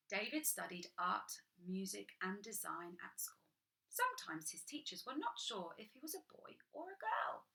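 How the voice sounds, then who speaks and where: A woman reading a picture book aloud to children, sing-song and animated, dropping into whispers for little asides. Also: mid-range voice. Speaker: children's storyteller.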